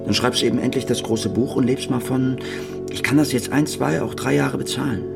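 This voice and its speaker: An anxious, pleading man in a radio play. His mid-pitched voice has a gravelly creak, and he talks haltingly and urgently over clear background music. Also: steady tone. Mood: apologetic, conciliatory. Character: anxious, pleading male writer, the journalist's partner. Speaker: actor in a radio drama. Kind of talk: naturalistic radio drama acting.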